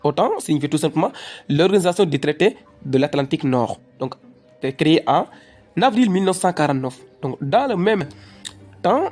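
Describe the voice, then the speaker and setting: A performer in an educational cartoon plays an eager student explaining history to a professor in a halting, deferential way. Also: medium pitch, lively intonation, a little mellow, faint music behind, close to an everyday voice. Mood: earnest, emphatic. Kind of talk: educational cartoon dialogue, lecture-like recitation.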